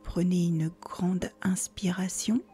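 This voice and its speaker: A woman guiding a relaxation meditation in a soft, breathy near-whisper, calmly giving soothing instructions with pauses. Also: lowish voice. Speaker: yoga nidra guide. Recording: faint music behind the voice.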